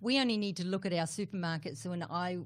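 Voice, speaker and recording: A woman's voice: lowish voice, fast talker. Chatty middle-aged New Zealand woman. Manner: chatty, with many fillers and repeated words. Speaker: guest. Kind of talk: casual interview conversation.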